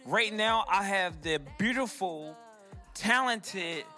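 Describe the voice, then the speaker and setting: A man's voice: high voice, animated, takes it slowly, pushed and bright, faint music behind. A man hosting a radio show, interviewing a guest with upbeat, slangy, brief questions.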